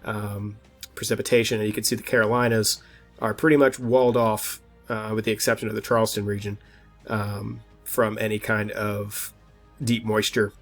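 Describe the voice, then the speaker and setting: A man with a slightly stuffed-up voice presenting an informal weather forecast as host, often halting and restarting as he thinks aloud. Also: fairly low pitch, little pitch movement, soft background music.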